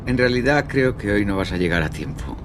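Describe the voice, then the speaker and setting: A man's voice: fairly low pitch, animated, brisk, background noise, close to an everyday voice. A man playing a coaxing, menacing young Spanish killer. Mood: quiet menace. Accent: Castilian Spanish. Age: young adult. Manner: coaxing, falsely reassuring, condescending. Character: calm, manipulative young male killer coaxing a victim. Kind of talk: radio drama acting. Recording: music bed under the voice.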